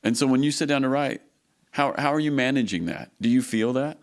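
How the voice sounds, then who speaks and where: A man's voice: medium pitch, brisk. A man hosting a podcast, chatting casually with his guest, asking questions and affirming what is said. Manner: friendly questions, affirming interjections. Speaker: podcast host. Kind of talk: casual interview chat.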